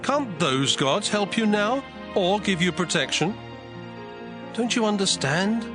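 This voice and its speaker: A man's voice: sits high, lively intonation, measured pace, pitched above the performer's own voice. A man voicing a wrathful, jealous God pronouncing judgement in a solemn, commanding way for a dramatized scripture reading. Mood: stern, vengeful. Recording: music bed behind the voice.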